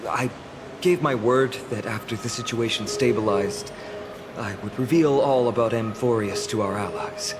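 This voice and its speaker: A man's voice: mid-range voice, natural voice. Earnest, courteous actor as a male fantasy warrior. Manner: formal, polite, earnest. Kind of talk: video game character acting.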